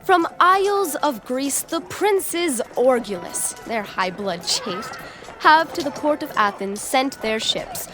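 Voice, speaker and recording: Slow, high-voiced woman as wry herald of war. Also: sing-song, over music, heavily acted. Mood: grave, portentous. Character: armed prologue narrator, wry herald of war. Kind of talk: Shakespearean verse narration in audio drama.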